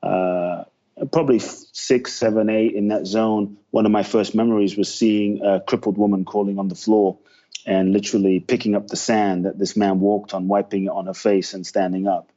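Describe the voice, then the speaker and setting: Earnest male interview guest, low mellow voice, muffled lo-fi sound. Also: little pitch movement. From Ghana, raised in London. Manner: earnest, heartfelt, many "uh" pauses. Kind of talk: reflective storytelling interview.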